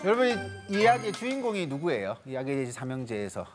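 Man in his thirties, animated stage lecture, big swooping pitch, light music bed. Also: fast talker, crowd noise. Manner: animated, acts out little quoted voices. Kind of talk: lively lecture to a studio audience. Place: large TV studio stage with audience.

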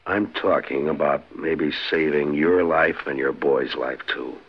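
An actor playing a steady, authoritative American frontier marshal in a 1950s radio western. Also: male voice, measured pace, full character voice. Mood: earnest, persuasive. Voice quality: very deep, husky. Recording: muffled 1950s radio recording.

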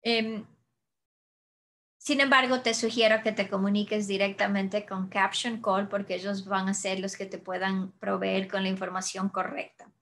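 A woman in her thirties, an audiologist, answering audience questions in a webinar over a video call, calm and explanatory with frequent restarts. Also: mid-range voice, moderate pace. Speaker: audiologist presenter. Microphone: webcam video call.

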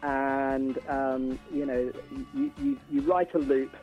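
A man's voice: mid-range voice, big pitch swings, slow, lo-fi sound, faint music behind. A middle-aged man talking casually and emphatically as a musician guest in a radio interview, often repeating phrases.

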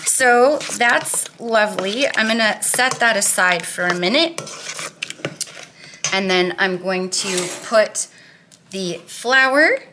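A woman's voice: medium pitch, lively intonation, slow pace, background noise. A woman in her thirties casually walking through a recipe in her home kitchen, chatty and upbeat with lots of "like". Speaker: weight-loss cooking vlogger.

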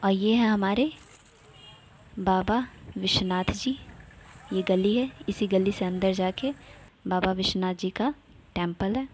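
A woman's voice: medium pitch, a little slow, long pauses, a little mellow, husky, low-quality recording. A young woman from India narrating a casual travel vlog, cheerful and chatty, pointing things out to her viewers. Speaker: vlogger.

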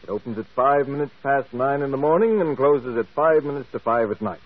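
An actor playing a brisk, self-assured, skeptical office boss in a radio drama. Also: male voice, medium pitch, no echo, natural voice. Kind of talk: old radio drama acting. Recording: muffled, tinny vintage radio broadcast.

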